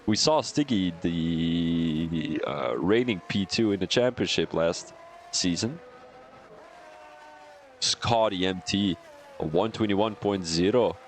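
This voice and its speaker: A young man with a Belgian accent doing live commentary on a sim racing stream, excitable and chatty, laughing and rambling between calls. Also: fairly low pitch, slight background noise.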